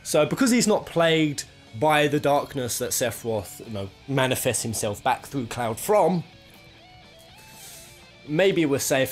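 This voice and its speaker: A British man giving casual, jokey top-ten video game commentary, rambling and thinking aloud as he goes. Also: mid-range voice. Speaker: video game countdown host.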